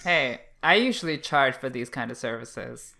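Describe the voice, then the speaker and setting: A man's voice: medium pitch, lively intonation, normal speed, creaky, noisy background. A man co-hosting a casual podcast, speaking in a playful, cheeky way. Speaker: podcast co-host.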